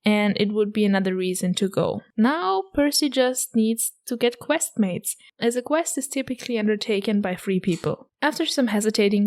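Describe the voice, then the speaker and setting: A woman hosting a podcast, reading a scripted chapter recap with playful asides to the listener. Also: mid-range voice. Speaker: podcast host.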